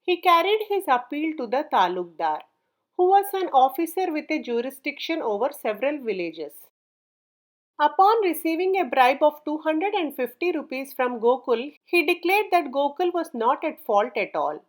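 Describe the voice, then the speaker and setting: A woman narrating a children's story from a script in a measured, clearly enunciated read-aloud manner. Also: sits high, big pitch swings, low-quality recording.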